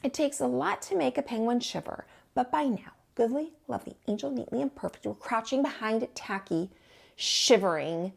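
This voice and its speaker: A woman narrating a children's storybook as a storytime teacher, in an expressive read-aloud style. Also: fairly high pitch, normal speed, vocal fry.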